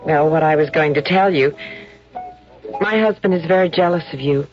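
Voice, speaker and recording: A woman's voice: deep voice, normal speed, natural voice. A woman playing an affectionate, teasing and earnest glamorous wife in a radio drama, full of playful endearments. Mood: tender, earnest. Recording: dull radio recording with music.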